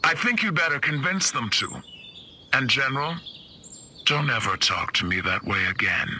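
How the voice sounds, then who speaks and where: A man playing a cold, commanding secret government agency chief in a video game, measured, authoritative and menacing. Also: mid-range voice, animated, pushed and bright, slight background noise. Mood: threatening. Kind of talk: 1950s-set video game cutscene acting.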